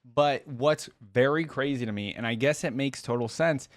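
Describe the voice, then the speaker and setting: A man's voice: mid-range voice, normal speed, dry recording. A man hosting a reaction video, musing aloud and addressing viewers in casual, conversational commentary.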